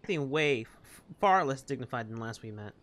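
A man's voice: mid-range voice, animated, brisk, noisy background. A man doing casual game playthrough commentary, reading dialogue aloud and chatting as he plays. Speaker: gaming video creator.

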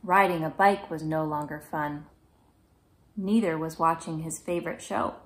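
A woman in her thirties reading a children's picture book aloud in a gentle, measured, clearly phrased way. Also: sits low, slight background noise. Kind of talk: children's storybook read-aloud. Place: small indoor room at home.

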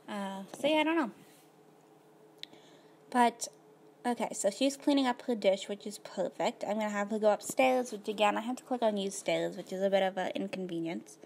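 A woman with a slightly hoarse voice, casually narrating her life-simulation gameplay at a computer, rambling with lots of fillers. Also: medium pitch, normal speed, a little mellow, a little hiss or hum. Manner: rambling, filler-heavy, laid-back. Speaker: gaming video host.

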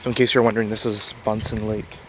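A man's voice: fairly low pitch, flat delivery, quick pace, slight background noise, poor audio. A young man from Canada talking casually in a car vlog, loose and rambling with lots of ums and restarts. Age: young adult. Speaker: vlogger. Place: inside a small car, later outdoors in a snowy lakeside parking lot.

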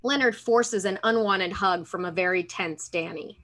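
A woman narrates a screenplay's stage directions. Her pitch is average and her delivery is scripted, moving through short scene descriptions over a video call. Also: moderate pace, a bit bright. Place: room at home, indoors. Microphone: computer mic on video call.

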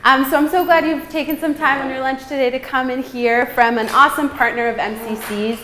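Bright woman introducing a speaker. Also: sits high, steady tone, a little fast, echoey room, slight background noise. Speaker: event host. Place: meeting room.

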